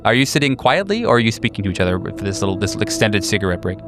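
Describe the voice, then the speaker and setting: A man's voice: fairly low pitch, sing-song, racing, a bit bright, faint music behind. A man improvising narration as game master, casual and hesitant, rephrasing as he goes.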